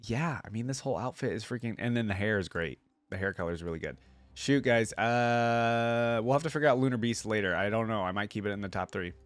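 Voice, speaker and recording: A man's voice: on the low side, fairly quick, no echo. A man giving casual, informal reaction commentary, thinking aloud as he goes with plenty of filler words. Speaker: reaction video host.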